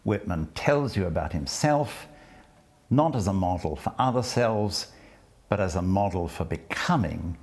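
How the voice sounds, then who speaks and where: A man who introduces a poem and then reads it aloud, in a measured, deliberate way, letting phrase ends fall and slipping into soft whispers at times. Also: low voice, animated. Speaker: poetry reader and lecturer. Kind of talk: spoken introduction and poetry reading.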